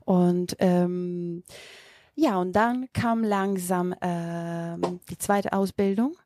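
A woman's voice: on the low side, steady tone, drawn-out words, a little mellow, dry recording, clean studio sound. A woman in her thirties, a native Macedonian speaker with a Macedonian accent, answering interview questions with many hesitations and restarts. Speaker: yoga teacher, interview guest.

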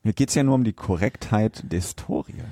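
A man with a German accent hosting a podcast, explaining facts in a casual, halting way. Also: lowish voice, warm tone, dry recording, studio quality. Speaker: podcast host.

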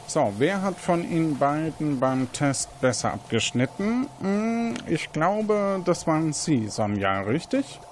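An actor playing a quirky lab inventor in an interactive audio drama, chatty, sardonic and a little condescending. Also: male voice, fairly high pitch, big pitch swings, takes it slowly, few pauses, slight background noise. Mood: teasing.

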